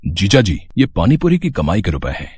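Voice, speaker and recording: A man playing a bold, protective god in human guise, firm, righteous and reassuring, in an animated moral story. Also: sits low, fairly quick, husky, dry recording, studio quality, full character voice. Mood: calm, admonishing.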